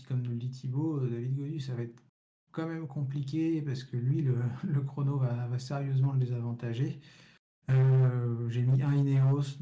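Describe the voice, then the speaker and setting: A man's voice: medium pitch, warm tone. A man co-hosting a cycling podcast, giving frank opinions in a casual, repetitive way. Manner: opinionated, frank, repeats words as he goes.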